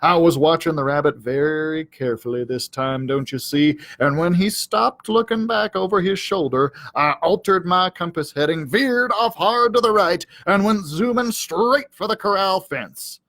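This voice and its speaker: A man's voice: high-pitched, moderate pace, hardly any pauses, a bit bright, no echo. A man voicing a self-important, aggrieved cowdog who tells his storybook tale in a pompous, melodramatic, wordy way.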